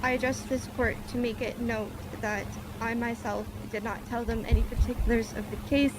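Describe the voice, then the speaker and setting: A woman's voice: fairly high pitch, steady tone, normal speed, background noise. A woman acting as a witness in a roleplay court, speaking formally and precisely.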